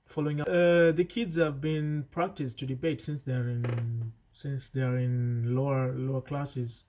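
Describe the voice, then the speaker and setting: Young man, vlog, earnest, chatty, restarts, somewhat high voice. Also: darkish tone. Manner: earnest, talks in restarts and asides. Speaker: vlogger. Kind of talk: casual vlog advice to camera.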